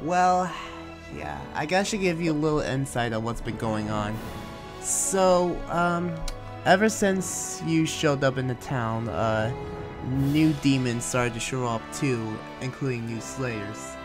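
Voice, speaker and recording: A man's voice: medium pitch, animated, slow. A man playing a friendly, awkward young demon slayer in improvised game roleplay, talking in a hesitant, rambling, casual way. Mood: earnest, troubled. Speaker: roleplayer in a Minecraft anime roleplay. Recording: music playing behind the voice.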